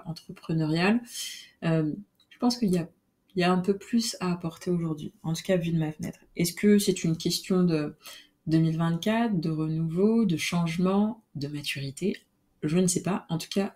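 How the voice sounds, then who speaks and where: A woman hosting her own podcast alone, talking in a relaxed, reflective way with many fillers and restarts. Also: fairly low pitch. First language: French. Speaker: podcast host. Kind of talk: casual solo podcast monologue.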